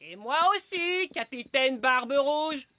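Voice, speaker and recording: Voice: high-pitched, expressive, takes it slowly, exaggerated voice. A performer playing a proud, showy pirate captain in a cartoon, speaking in a grand, theatrical manner. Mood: swaggering. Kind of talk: cartoon voice acting in French. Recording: loud music under the voice.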